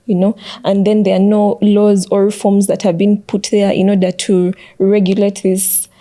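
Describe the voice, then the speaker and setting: A woman's voice: mid-range voice, little pitch movement, moderate pace, mellow. A young woman giving earnest, conversational answers as a student panel guest. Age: young adult.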